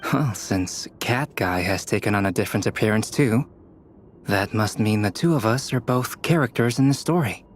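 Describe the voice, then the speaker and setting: A man playing a composed young alchemist in a video game, speaking in a measured, thoughtful, analytical way. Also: on the low side, a little hiss or hum, lightly acted. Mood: pondering. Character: composed young male clay alchemist, analytical, dryly critical.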